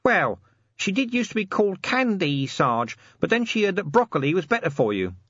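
A man's voice: sits high, very animated, moderate pace, a bit bright, no room sound, muffled recording, pitched above the performer's own voice, heavily acted. A man voicing a cheeky, seedy, eager male corporal of the city watch in an audiobook, chatty and matter-of-fact. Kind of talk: audiobook character acting.

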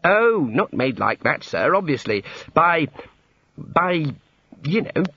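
A man's voice: high-pitched, sing-song, moderate pace, husky, dry recording, highs cut off, voice pushed up, full character voice. A man voicing an earnest, exasperated young wizard scholar, gloomy, hesitant and awkwardly polite, in an audiobook story. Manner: hesitant, gloomy, long-suffering.

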